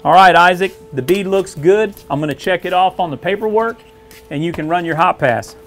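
A middle-aged man, a weld test supervisor, explaining a visual weld inspection in a shop and often clearing his throat. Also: high-pitched, a little fast. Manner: frequent throat clearing, plain-spoken explaining. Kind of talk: instructional demonstration. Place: indoor welding shop.

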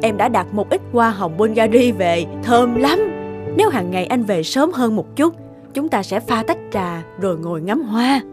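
An actress playing a gentle, lonely elderly wife and mother in an animated drama, hesitant and caring. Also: a little high, moderate pace, over music, close to an everyday voice. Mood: eager, caring.

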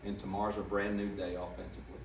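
A man's voice: low voice, level tone, fast, very noisy. An older man, a head coach answering press questions after a game in a plainspoken, emphatic way, seated at a table mic. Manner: plainspoken, emphatic, repeats for stress. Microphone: table mic on stand, camera some distance off. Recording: roomy, slightly distant mic pickup.

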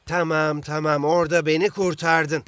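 A man's voice: a little high, little pitch movement, moderate pace, fairly bright tone, smooth, no echo, natural voice. Man acting a proud, grumbling young footballer. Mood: resentful. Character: proud, resentful young male football player. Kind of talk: Turkish-dubbed children's cartoon acting.

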